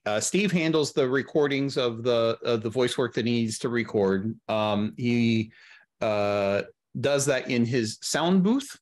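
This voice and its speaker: A middle-aged man explaining his work step by step in an interview, thoughtful and halting, speaking close into a podcast mic. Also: mid-range voice. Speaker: guest, audio drama editor and sound designer. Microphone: close podcast mic on a video call.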